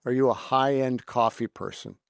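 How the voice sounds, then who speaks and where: A man's voice: mid-range voice, normal speed, vocal fry, clear, resonant tone, no echo, clean studio sound. An American man hosting a casual podcast interview in a chatty, informal, effusive way. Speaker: podcast host.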